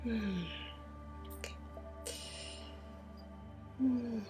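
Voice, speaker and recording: A woman from Sweden, a native Swedish speaker, guiding an ASMR energy healing session in a breathy, halting voice that often drops into a whisper. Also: on the high side, soft background music. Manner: hushed, halting, intimate direct address. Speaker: spiritual healer and ASMR host.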